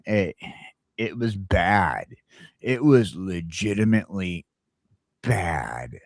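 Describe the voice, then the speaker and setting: A man's voice: low voice, animated, slow, no echo, clean studio sound. A man talking casually and bluntly as a talk show host, ranting with strong opinions, swearing and repeating himself. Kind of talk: casual opinionated chat about geek culture.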